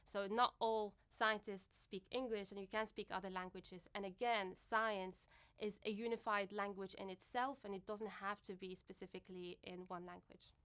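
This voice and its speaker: A woman's voice: medium pitch, steady tone, moderate pace, fairly bright tone, smooth. A woman from Morocco, an Arabic speaker, talking in an interview and searching for words. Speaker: scientist doing outreach. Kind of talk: interview talk about own work.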